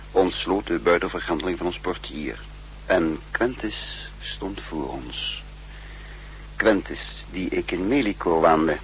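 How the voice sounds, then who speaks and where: A grave spy chief held captive speaks in a measured, dramatic way, as in a sixties radio thriller. His voice is deep, with faint hum and noise on the old recording. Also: low-quality recording. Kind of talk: 1960s radio drama narration and dialogue.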